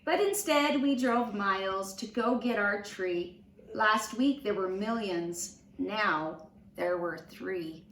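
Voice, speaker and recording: A woman reading a children's storybook aloud in an expressive, animated storytelling manner. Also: mid-range voice. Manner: slow, animated storytelling with acted voices. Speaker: storybook reader. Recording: roomy, distant mic with faint noise.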